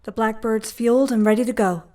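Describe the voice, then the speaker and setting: A woman's voice: on the high side, fairly even intonation, a little fast, dark tone, close to an everyday voice. A woman playing an earnest superhero heroine in an audio drama. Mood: resolved. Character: earnest female mutant heroine.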